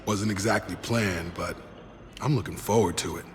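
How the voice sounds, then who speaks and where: Man acting easygoing soldier friend, lowish voice, earnest, game dialogue, reverb, music behind. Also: normal speed, close to an everyday voice. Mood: earnest, hopeful. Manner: earnest, relaxed, familiar. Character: loyal, easygoing male ex-soldier comrade. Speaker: video game character.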